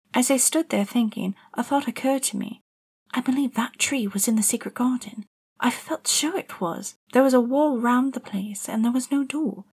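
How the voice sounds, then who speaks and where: A woman voicing a lonely, curious young girl, narrating her own story in the first person with a soft, hushed delivery. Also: on the high side, slightly rough. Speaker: narrator and lead actor in an audio drama.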